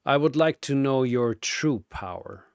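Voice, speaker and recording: A man playing a grim, stoic, battle-worn warrior god in a fantasy story, speaking in a measured and solemn way. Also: mid-range voice, lively intonation, clean tone, no room sound, clean studio sound.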